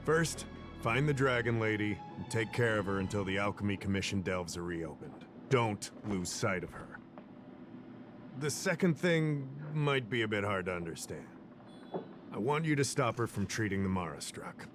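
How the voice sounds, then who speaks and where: Man playing a low-voiced, composed official. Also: animated, over music, lightly acted. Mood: instructing. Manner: polite, measured, instructing. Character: composed male official, courteous, quietly cautionary. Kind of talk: anime game voice acting.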